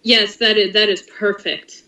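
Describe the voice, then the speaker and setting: A woman's voice: mid-range voice, level tone, unhurried, crowd noise. A woman chatting informally and agreeably as a team member in a casual online meeting. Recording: echoey, distant voice-call microphone.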